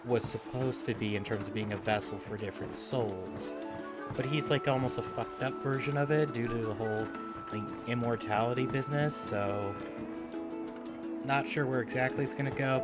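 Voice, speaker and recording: A man's voice: medium pitch, normal speed. A man giving casual livestream commentary as a game streamer, musing and sweary. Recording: game music behind.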